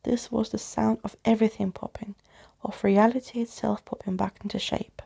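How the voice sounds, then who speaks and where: A soft-spoken woman reading a children's story aloud in an expressive ASMR bedtime style. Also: mid-range voice, normal speed, creaky, slightly rough. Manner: expressive, acts out the characters.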